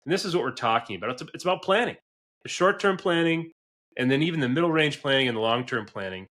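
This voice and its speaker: A man around forty with a middle-pitched, bright and forceful voice. He hosts a business podcast conversationally, stressing his points earnestly and often tagging them with a quick check for agreement. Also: expressive. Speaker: podcast host, business advisor.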